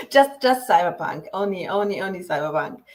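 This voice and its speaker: A woman from Poland who grew up in Germany, speaking English with a mixed Polish-German accent as an interview guest, repeating words and pausing with ums. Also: lowish voice, lively intonation, fairly bright tone. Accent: Polish-German mix. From Poland, raised in Germany. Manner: stammering restarts, frequent ums, laughs easily. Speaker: guest author. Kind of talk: casual interview.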